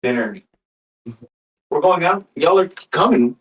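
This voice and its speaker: A man's voice: a little high, animated, measured pace, crowd noise. A man in casual chat, matter-of-fact and mildly exasperated.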